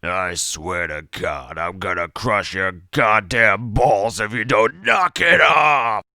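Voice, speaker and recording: A man's voice: lowish voice, slow, vocal fry, sharp tone, no room sound, clean studio sound, pitched above the performer's own voice, exaggerated voice. A man voicing an irritable, menacing mob enforcer with sardonic, threatening tough-guy talk in a story reading. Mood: furious threat.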